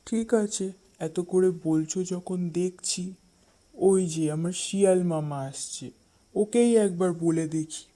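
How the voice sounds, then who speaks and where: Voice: medium pitch, takes it slowly, mellow, natural voice. An actor voicing an ungrateful, hungry tiger in a children's cartoon fable, with a blunt, insistent way of talking. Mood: explaining. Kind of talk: children's animated fable dialogue.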